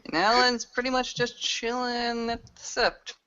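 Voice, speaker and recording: A man's voice: very high voice, level tone, measured pace, pushed and bright. A man casually narrating his character's actions as a player in a tabletop roleplaying game. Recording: dull lo-fi voice recording.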